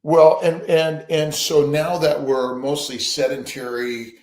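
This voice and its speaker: A man's voice: fairly high pitch, level tone, slow, darkish tone, roomy sound. A man hosting a casual live-stream chat, rambling and upbeat, with many restarts. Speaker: host.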